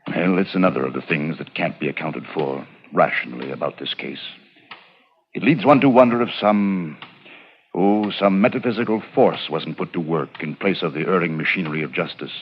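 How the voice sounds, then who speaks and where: A solemn, considerate prison warden in a deep voice. His speech is formal and measured. The sound is a dull 1940s radio drama recording. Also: a little hiss or hum. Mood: grave musing. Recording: muffled vintage radio recording.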